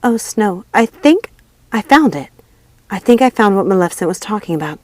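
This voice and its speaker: A woman's voice: medium pitch, sing-song, moderate pace, no room sound. A woman voicing an anxious young sorceress in a storybook, desperate, earnest and urgent. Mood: panicked. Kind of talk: storybook character acting.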